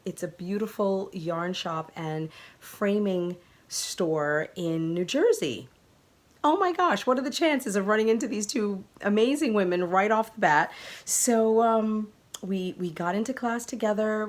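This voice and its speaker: A woman hosting her podcast, telling a story to viewers in a gushing, excitable way, her voice a little croaky from a cold. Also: mid-range voice. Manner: gushing and excitable, laughing often. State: recovering from a sore throat. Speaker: podcast host.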